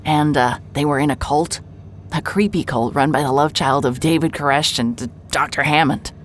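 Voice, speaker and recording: An actress playing a sardonic, hard-hearted private investigator who talks in dry, wisecracking sarcasm. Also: female voice, sits low, moderate pace, slight background noise, natural voice. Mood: sarcastic. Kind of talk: audio drama acting and first-person narration.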